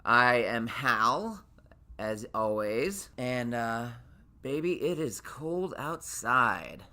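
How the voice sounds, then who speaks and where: A man in his late thirties hosting his podcast in a casual solo monologue. His voice sits naturally high, and he rambles with lots of restarts and fillers. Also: animated, very slow, pushed and bright. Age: late 30s. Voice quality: naturally high voice he can drop lower. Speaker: podcast host.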